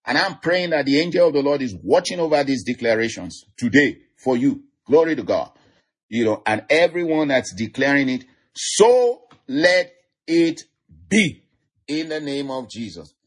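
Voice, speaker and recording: A man with a Nigerian accent preaching an online sermon, laughing softly between lines and repeating declarations. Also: medium pitch, expressive, normal speed, husky. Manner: chuckles often, repeats declarations.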